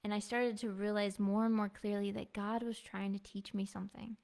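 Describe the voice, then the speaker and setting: A woman in her thirties giving a heartfelt personal devotional testimony in an earnest, soft-spoken way. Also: mid-range voice, little pitch movement, brisk, gravelly. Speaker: devotional speaker and music minister. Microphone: clip-on mic.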